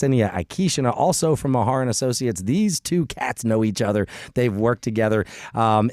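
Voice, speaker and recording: A man's voice: medium pitch, a little mellow, dry recording. A man hosting a radio talk show in a studio, chatting casually, joking and rambling. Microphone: studio mic.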